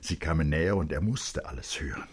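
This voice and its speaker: A man with a deep, husky voice narrates a literary story for radio. His delivery is expressive and full of suspense, shaped as dramatic storytelling. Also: a little fast. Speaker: narrator, reader.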